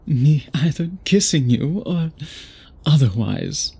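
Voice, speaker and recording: A man playing an obsessed, tormented vampire suitor in an intimate ASMR roleplay, speaking formally and haltingly in a breathy, husky voice. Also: fairly high pitch, animated, warm tone, exaggerated voice. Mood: apologetic, restrained. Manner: formal, theatrical, halting speech with dramatic pauses.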